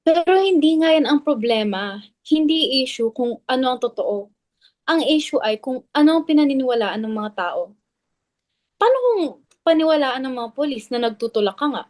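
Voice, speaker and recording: A woman's voice: high-pitched, sing-song, normal speed, a little mellow. A woman in a dramatic reading playing a blunt, suspicious gossip who argues insistently. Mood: alarmed, argumentative. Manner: argumentative, insistent.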